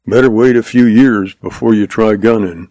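A man's voice: on the low side, moderate pace, mellow, no room sound, natural voice, poor audio. A man voicing a weary old-order gunfighter who talks in a dry, hard, level voice.

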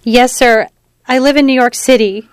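Audiobook role: male narrator as a rattled, well-mannered young woman, high and disbelieving, in a dry studio sound. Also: fairly even intonation, normal speed, clean tone, no echo. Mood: nervous, polite. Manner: shaken, incredulous, polite. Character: anxious young woman driver from New York.

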